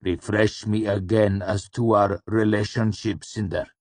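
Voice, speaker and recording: Man voicing a slow, deep, coldly menacing dark lord. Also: husky, dry recording, exaggerated voice. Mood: patient menace. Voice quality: cool, soft. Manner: calm, polite, deliberate menace. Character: shadowed, hooded male dark lord, coldly patient and menacing.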